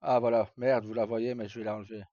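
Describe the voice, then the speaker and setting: A man's voice: lowish voice, little pitch movement, a little fast, mellow. A man chatting casually to live viewers as a streamer, rambling and hesitant in colloquial speech with some swearing. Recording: dull lo-fi mic in echoey room.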